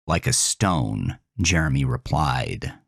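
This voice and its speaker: Deep low guy reading a horror story, really slow and intense. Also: sing-song, vocal fry, husky, dry recording, studio quality. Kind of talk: scripted horror narration.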